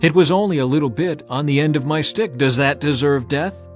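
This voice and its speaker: A man's voice: fairly high pitch, fairly quick, soft background music, lightly acted. A man reading as a pleading young prince.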